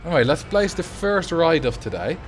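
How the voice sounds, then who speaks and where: A man's voice: on the high side, big pitch swings, brisk, soft background music. A man from the Netherlands with a Dutch accent, casually chatting with viewers and thinking aloud while he plays a building game on a livestream. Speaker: streamer.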